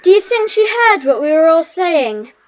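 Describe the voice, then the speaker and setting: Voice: very high voice, sing-song, moderate pace, rough recording. A performer playing an eager, inquisitive British child detective in an audio drama, speaking earnestly.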